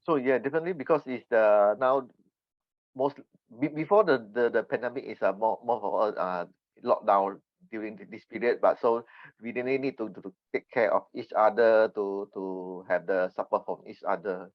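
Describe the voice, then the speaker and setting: Halting Malaysian man, dull lo-fi sound. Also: on the low side, no room sound. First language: Mandarin. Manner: halting, word-searching, earnest. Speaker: podcast guest. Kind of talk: conversational interview answers. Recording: dull, slightly lo-fi remote connection.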